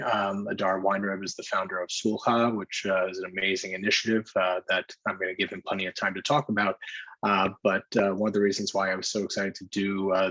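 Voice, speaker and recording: A man's voice: low voice, fairly bright tone, breathy edge, rough recording. A man hosting an online discussion in a conversational, somewhat wordy and roundabout way. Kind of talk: conversational event moderating.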